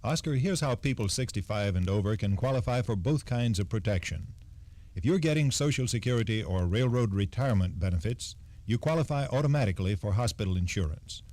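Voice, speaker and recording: A man giving a scripted 1960s radio explanation as an expert, measured, formal and instructive. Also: on the low side, lively intonation, a little mellow, no room sound. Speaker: Social Security expert guest.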